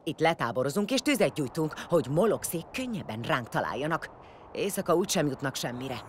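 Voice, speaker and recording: A woman's voice: low voice, sing-song, a little hiss or hum. A woman playing a blunt, commanding warrior leader in an audio drama, vengeful and foul-mouthed. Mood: curt, commanding.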